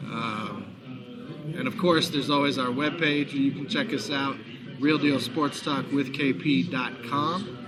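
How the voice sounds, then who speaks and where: A man with a hoarse voice hosting a live sports talk show, chatty and promotional, from a busy bar. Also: medium pitch. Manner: chatty, promotional, frequent fillers. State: hoarse, recovering lost voice. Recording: noisy bar crowd behind. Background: crowd chatter.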